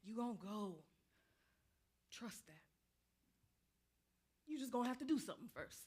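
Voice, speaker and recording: A woman's voice: a little high, expressive, moderate pace, warm tone, slightly rough. A woman acting a restless female soldier's ghost in a staged play reading, taunting and insistent in vernacular speech.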